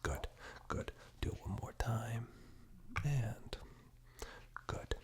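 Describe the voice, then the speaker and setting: A man performing whispered ASMR roleplay in a gentle, reassuring, unhurried manner, very close on a binaural microphone. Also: medium pitch.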